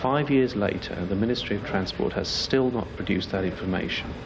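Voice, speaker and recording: Guy with a lowish voice giving a campaign speech, noisy street sound behind him. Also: a little fast. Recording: clearly noisy, urban background sound.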